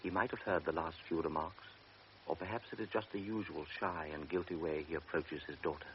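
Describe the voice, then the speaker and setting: A man narrating a classic radio drama in a measured, grave manner. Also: sits low. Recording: dull old radio recording with faint hiss.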